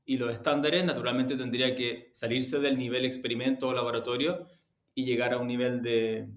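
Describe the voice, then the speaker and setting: A man with a Chilean accent answering interview questions in a measured, deliberate, halting way. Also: mid-range voice, little pitch movement. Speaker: interview guest, audio production executive. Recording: roomy, echoey sound.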